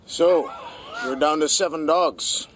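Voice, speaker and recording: An actor playing a stern, seasoned, protective frontier prospector in a radio drama, giving plain-spoken, emphatic warnings. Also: male voice, fairly high pitch, slow pace, a little hiss or hum.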